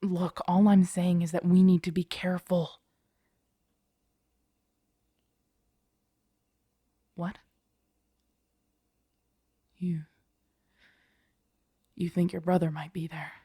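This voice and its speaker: A woman playing a protective, guarded young survivor of a zombie apocalypse in an intimate audio roleplay, with hushed, urgent, halting delivery. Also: on the low side, level tone, husky, no echo, studio quality. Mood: wary.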